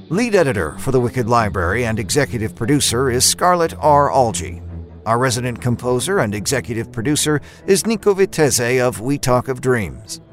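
A man hosting a podcast, reading scripted credits and promotion. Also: mid-range voice. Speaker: podcast host.

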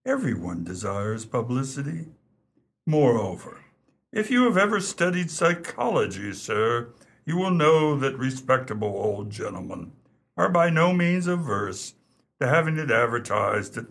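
A discreet, unflappable English valet in a radio drama. He is measured and formal, with a husky, creaky voice that moves up and down expressively. Every opinion comes with perfect deference. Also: mid-range voice, sing-song, fairly bright tone, heavily acted. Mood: formal, unruffled. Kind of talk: radio play acting.

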